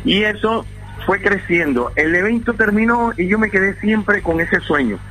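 A man's voice: high-pitched, moderate pace, soft background music, rough recording. A middle-aged man from Venezuela, an event organizer telling his story at length on a radio interview. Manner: rambling, animated storytelling with restarts.

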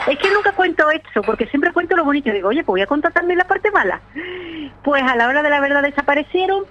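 A woman author from Seville with an Andalusian accent, chatting lively in a radio interview and often laughing. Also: a little high, lo-fi sound. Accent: Andalusian Spanish from Seville. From Spain. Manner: lively, laughs often, talks in quick bursts. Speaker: children's author, guest.

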